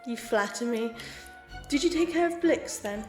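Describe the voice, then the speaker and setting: A woman playing an immortal warmongering villainess in an audio drama, camp, theatrical and condescending, with a teasing, mocking manner. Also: on the high side, lively intonation, moderate pace, lightly acted. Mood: teasing, menacing. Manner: theatrical, arch, condescending, uses pet names like dearies. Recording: roomy echo, faint music behind.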